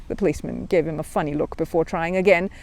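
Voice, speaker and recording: A woman narrating a children's story from a script, with expressive, deliberate phrasing. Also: sits low, vocal fry, slight background noise. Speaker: storybook reader.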